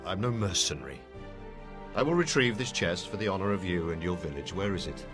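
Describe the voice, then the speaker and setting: A man's voice: deep voice, animated, a little fast, over music. A man playing a proud, brusque ranger leader in an amateur fantasy audio play, with a commanding, dismissive manner. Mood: resolute.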